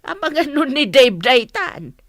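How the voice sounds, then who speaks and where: A woman's voice: high-pitched, very animated, measured pace, fairly bright tone, dry recording, clean studio sound, heavily acted. A woman with an Ilocano accent playing a concerned, reproachful village mother in a radio drama.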